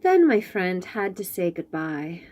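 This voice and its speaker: A woman reads a picture book to small children with a very melodic, animated delivery. She takes her time, lingering over the words. Her manner is gentle and full of wonder, with little asides that invite the listeners in. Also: on the low side, a little slow, slight background noise. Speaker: children's storyteller and host. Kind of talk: expressive picture-book read-aloud with asides to young listeners.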